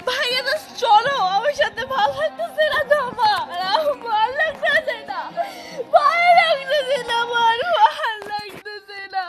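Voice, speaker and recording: Casting: wronged young cartoon girl, tiny sky-high squeaky voice, Bangladeshi accent, light music underneath. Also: normal speed. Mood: upset, pleading. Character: young dark-skinned girl, heartbroken and wronged. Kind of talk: amateur cartoon dubbing in colloquial Bengali.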